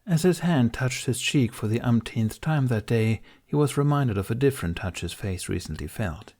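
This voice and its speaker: A man reading an audiobook as narrator, in expressive scripted storytelling. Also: medium pitch, moderate pace.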